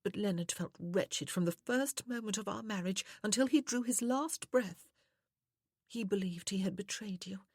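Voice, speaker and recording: A woman voicing a soft, sweet, tearfully reproachful young widow in a period romance audiobook. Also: mid-range voice, moderate pace, slightly rough, dry recording, clean studio sound, voice pushed up. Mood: tearful regret.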